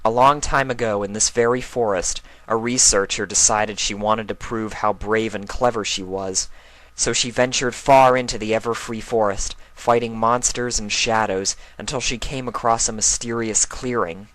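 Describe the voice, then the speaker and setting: A grown-up mare, put on by a storyteller, speaking a little above the narration with gentle firmness. The pony sounds like a watchful teacher spinning a cautionary tale, in a dry, close storybook recording. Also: medium pitch, steady tone, moderate pace, dry recording, natural voice, low-quality recording. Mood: ominous. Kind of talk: dramatised story reading, cartoon pony.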